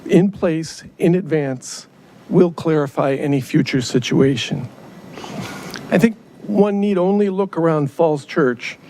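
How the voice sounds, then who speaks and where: A man's voice: a little high, a little mellow, a little hiss or hum, low-quality recording. Earnest man giving public comment. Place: council chamber.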